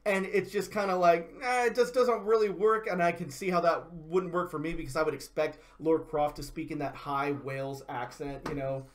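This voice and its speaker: A man's voice: fairly high pitch, fairly even intonation, normal speed, hardly any pauses. A man co-hosting a show, talking through a review in an informal, conversational, loosely phrased way. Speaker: co-host of a video game and movie show. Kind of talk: casual review chat.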